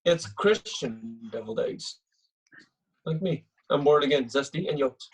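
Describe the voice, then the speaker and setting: A man playing a stubborn, smug and pious home cook in a comedy table read, speaking in deadpan, emphatic lines. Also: a little high, a little slow, husky, full character voice, low-quality recording. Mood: smug, insistent.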